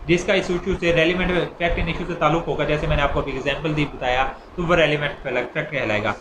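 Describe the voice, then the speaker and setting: A man's voice: a little high, fairly even intonation, fast talker. A man with a Pakistani accent giving an informal law lecture, explaining step by step with repetition and examples. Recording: echoey room with clear background noise.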